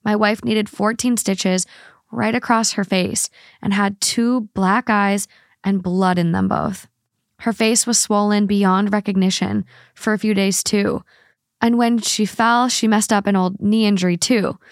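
Woman co-host, average pitch, dry close sound, reads posts aloud steadily. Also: fairly even intonation, unhurried, vocal fry, no room sound. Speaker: podcast co-host. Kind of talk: casual chat, reading posts aloud.

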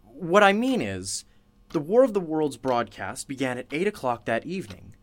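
Lady interviewer, super animated intonation, attentive and easily impressed, chatty radio play style. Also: low-pitched, normal speed. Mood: curious.

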